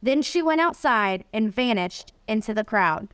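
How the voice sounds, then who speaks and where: A woman reading a children's storybook aloud in an expressive storytelling way. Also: a little high, unhurried, smooth, no echo. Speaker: volunteer reader, nonprofit executive.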